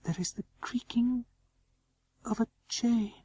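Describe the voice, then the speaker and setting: Entranced young woman, played by a male reader: slow, mellow, breathy, drifting, for a gothic story. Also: very high voice, fairly even intonation, no room sound, pitched above the performer's own voice, full character voice. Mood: entranced, faraway. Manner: slow, dreamy, trance-like. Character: entranced young woman speaking slowly under hypnosis.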